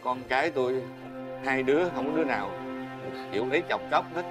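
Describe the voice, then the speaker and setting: A man's voice: mid-range voice, a little fast, music in the background, natural voice. A man playing a troubled, apologetic father in a fairy-tale television drama, earnest and hesitant, with a Southern Vietnamese accent.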